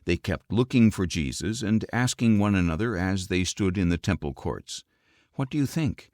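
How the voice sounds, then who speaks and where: A man with a fairly low voice reads scripture as an audiobook narrator. His intonation moves widely, with a measured, expressive storytelling delivery. The recording is dry and close, free of room sound. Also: dry recording.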